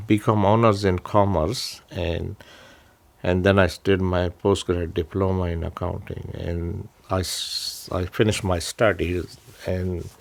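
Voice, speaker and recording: A middle-aged man from Pakistan telling his own story in an interview, halting, with many restarts and fillers. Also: low voice, vocal fry. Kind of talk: personal story told to camera.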